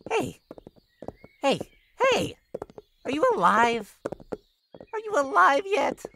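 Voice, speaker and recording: A woman's voice: high-pitched, very animated, slow, bright, forceful tone, slight background noise, exaggerated voice. A woman voicing a playful, teasing and sinister clown-like jester demon in a horror visual novel game, with theatrical sing-song delivery. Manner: theatrical sing-song teasing, sudden swoops into breathy menace.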